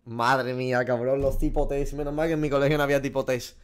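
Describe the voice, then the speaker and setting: Gaming streamer, male, twenties, Spain accent, mid-pitched, casual, sweary, reasoning out loud. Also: little pitch movement, fast talker, clean tone. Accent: Spanish from Spain. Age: 20s. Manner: slangy, swearing, thinking aloud. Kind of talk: casual reaction commentary over a quiz. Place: small room at a desk, indoors.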